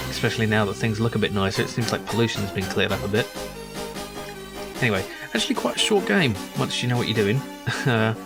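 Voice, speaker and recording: A man giving casual, musing game commentary as a let's play host, hesitant and lightly amused. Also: on the low side.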